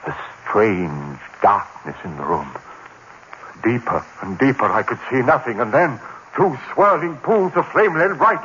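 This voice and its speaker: Feverish haunted madman, wildly swinging pitch. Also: animated, a little slow. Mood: dread. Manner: feverish, melodramatic, swinging from hushed dread to outcry. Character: haunted man narrating his descent into murderous madness. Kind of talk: 1940s radio horror acting. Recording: muffled noisy old radio recording.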